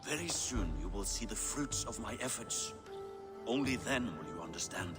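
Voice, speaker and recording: Casting: male actor as ageing Norse king, stern and formal game role, highly animated pitch, loud score underneath. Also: loud music behind the voice, lightly acted. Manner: formal, admonishing, measured. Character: stern, weary old Norse king and father. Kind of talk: dramatic video game acting.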